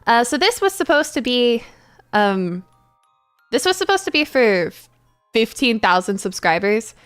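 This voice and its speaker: A woman's voice: on the high side, big pitch swings, measured pace, a bit bright, soft background music. A woman hosting a casual livestream, chatting to viewers in a playful, giggly, rambling way. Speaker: livestream host and voice actor.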